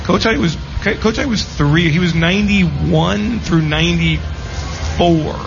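A middle-aged man talks sports casually, offering firm opinions in short phrases. His voice sits slightly high, and the recording is a little dull, with faint music underneath. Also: unhurried, gravelly. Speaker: sports commentator. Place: live radio broadcast at a casino.

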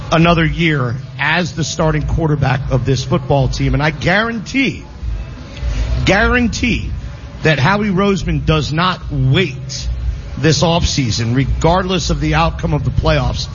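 A man's voice: on the high side, animated, a little slow, lo-fi sound, faint music behind. A man hosting live sports radio, hammering his points by repeating words. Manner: emphatic, repeats phrases for stress. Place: live venue broadcast.

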